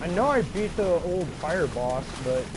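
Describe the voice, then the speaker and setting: A man's voice: sits high, animated, unhurried, heavy background noise. A man giving casual, playful gameplay commentary, poking fun at himself and often exclaiming. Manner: playful, self-mocking, exclamatory. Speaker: video game streamer or let's player.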